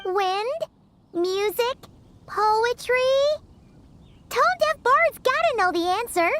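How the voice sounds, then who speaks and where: A woman voicing a small, cheeky, impatient fairy companion in a video game, with a squeaky childlike voice and a whiny, exclamatory manner. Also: animated, takes it slowly, background noise, heavily acted. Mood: impatient, puzzled.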